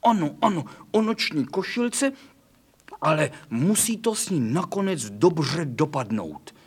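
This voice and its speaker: A small, restless male dog from a children's story, played by a man, whining in slow, drawn-out pleas. The voice is pitched up and swings about a lot. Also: very animated, dark tone. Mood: eager. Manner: whiny, drawn-out pleading.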